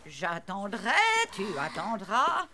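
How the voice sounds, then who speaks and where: Sing-song pedantic cartoon schoolteacher. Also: female voice, medium pitch, big pitch swings, pushed and bright, a little hiss or hum, full character voice. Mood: droning, pedantic. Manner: didactic, measured, pedantic. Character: pedantic schoolteacher drilling a class. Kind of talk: animated cartoon acting.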